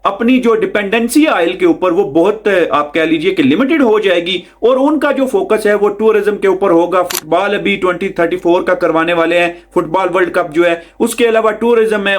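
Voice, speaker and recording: Male vlog host, notably high voice, conversational explainer delivery, emphatic repetition, speaks directly to viewers. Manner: explanatory, repeats phrases for emphasis, addresses listener directly. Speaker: video host.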